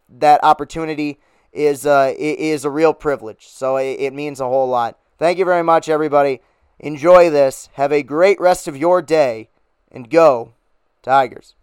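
A man hosting a casual sports podcast, upbeat and rambling with fillers, his voice a little hoarse. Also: fairly high pitch, no room sound, studio quality. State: losing his voice. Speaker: podcast host.

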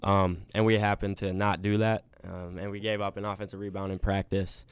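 A man's voice: low-pitched, little pitch movement, brisk, clean tone, no room sound. A young man who plays college basketball, answering interview questions in a laid-back way with lots of filler words. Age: young adult. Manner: laid-back, many ums.

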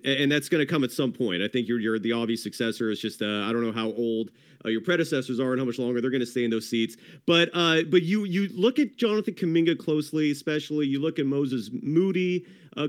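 A man hosting a sports podcast in casual, upbeat chat, hyping his guest and calling him brother. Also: medium pitch. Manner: hyped, chummy, calls guest brother. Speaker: podcast host.

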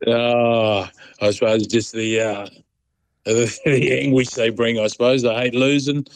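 A middle-aged man with an Australian accent is being interviewed as a guest. His medium-pitched voice has a dry sound. He rambles along casually and colloquially, in a laid-back manner. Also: little pitch movement, a little slow, dry recording. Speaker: interview guest, proud sporting dad. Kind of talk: casual phone-in chat.

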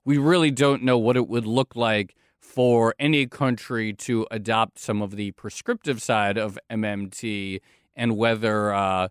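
Smooth-voiced male podcast co-host. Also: fairly low pitch, dry recording, studio quality. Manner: thinks aloud, rephrases mid-sentence. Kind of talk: conversational podcast hosting.

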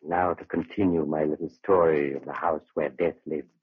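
An actor playing an eerie male storyteller, narrating ominously and with measured delivery in 1940s radio horror style. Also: very deep voice, steady tone, slightly rough, dry recording, full character voice. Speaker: story host. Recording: dull old radio broadcast.

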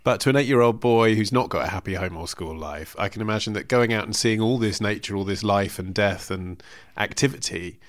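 A man's voice: fairly low pitch, a little fast, no room sound, clean studio sound. A man hosting an interview, asking reflective questions and thinking aloud with tag questions.

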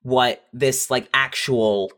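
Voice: mid-range voice, fairly even intonation, slow, fairly bright tone. A podcast guest who writes audio fiction, chatting casually and candidly in an informal, self-deprecating way. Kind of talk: casual personal chat.

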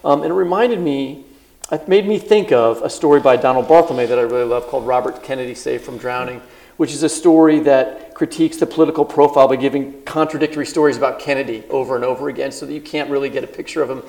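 A man co-hosting a live podcast interview at a book festival, talking quickly with a chatty, self-deprecating manner. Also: mid-range voice, creaky, darkish tone, rough recording. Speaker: podcast co-host.

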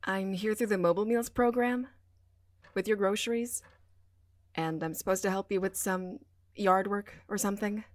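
A young woman with a flat, sarcastic edge, doing her duty under protest, voiced by an actress. Her delivery is grudging and a little snarky. The recording is close and dead dry. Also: mid-range voice, moderate pace, no room sound, natural voice. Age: young adult. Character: sullen young woman doing community service. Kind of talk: audio drama acting.

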